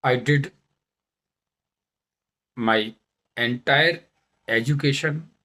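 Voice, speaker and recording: Slow, deliberate Indian man introducing himself. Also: medium pitch, bright, forceful tone. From Madhya Pradesh, India. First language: Bundelkhandi. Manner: deliberate, halting phrasing with stressed words. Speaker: vlogger, English learner turned presenter. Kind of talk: self-introduction talk to camera.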